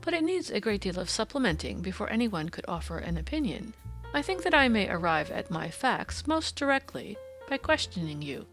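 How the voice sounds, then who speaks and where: A woman voicing a suave, self-assured gentleman detective, courteous and precise, in an audiobook. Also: medium pitch, big pitch swings, a little fast, faint music behind. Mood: probing. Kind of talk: audiobook character dialogue.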